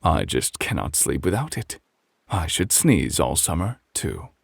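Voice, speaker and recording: A man voicing a grumpy, indignant male bear who scolds in a measured, old-fashioned way in a bedtime story. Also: extremely low pitch, big pitch swings, creaky, breathy edge, no echo, clean studio sound, exaggerated voice. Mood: put out.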